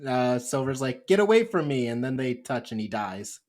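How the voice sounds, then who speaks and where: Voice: mid-range voice, very animated, normal speed, darkish tone. A podcast co-host in casual chat, tossing in wry, jokey remarks with some swearing.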